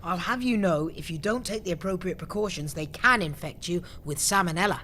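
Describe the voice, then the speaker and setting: A man's voice: high-pitched, fairly quick, sharp tone, slight background noise. A man playing a long-suffering, pedantic junior clerk in a comedy audio drama, dry and matter-of-fact with a sardonic edge. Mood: dry irritation.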